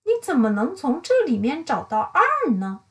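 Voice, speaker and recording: A thoughtful young knight from a picture book, read by a woman, puzzling things out as he talks. The voice stays bright-toned and high, moving freely up and down. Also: huge pitch swings, normal speed, faint music behind, full character voice. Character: young male knight Vertex, thoughtful and curious.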